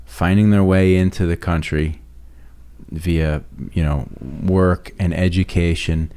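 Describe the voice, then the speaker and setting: Deep-voiced male podcast host. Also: fairly even intonation, takes it slowly, dark tone, clear, resonant tone. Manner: musing, repeats words when starting. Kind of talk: casual interview.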